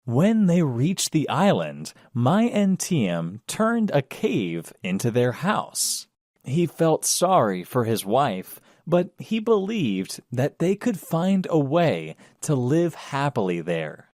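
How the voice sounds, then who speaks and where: Guy reading a kids bedtime story, really animated pitch but slow, dry close sound. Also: no echo. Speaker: storybook narrator. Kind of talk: scripted children's story narration.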